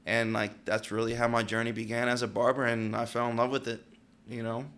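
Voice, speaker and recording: A man's voice: medium pitch, level tone, brisk, fairly bright tone. A man in his late twenties from Pennsylvania, a barber telling his story as a podcast guest, laid-back and full of "like" and "you know". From Pennsylvania, United States. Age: late 20s. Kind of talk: casual interview chat.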